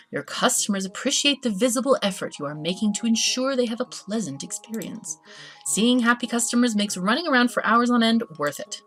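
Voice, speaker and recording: Expressive woman doing playful game commentary. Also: a little high, husky. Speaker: host of a gaming channel. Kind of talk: casual let's play commentary, reading game text aloud. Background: background game music.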